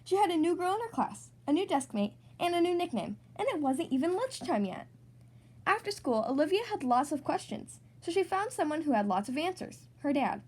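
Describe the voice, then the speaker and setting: A woman reading a children's storybook aloud as host, in an expressive, animated narrating style. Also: extremely high pitch, fairly quick. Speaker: storybook reader, podcast host.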